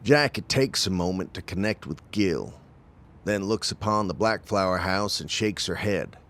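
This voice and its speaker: A man's voice: low voice, unhurried, fairly bright tone. A man reading scripted narration for an audio drama as its narrator.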